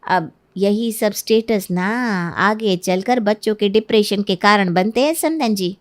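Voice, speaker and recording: A woman playing a smooth-talking, manipulative middle-aged mother-in-law in a Hindi cartoon story, persuasive and full of feigned concern. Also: mid-range voice, unhurried, lightly acted. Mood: sly persuasion.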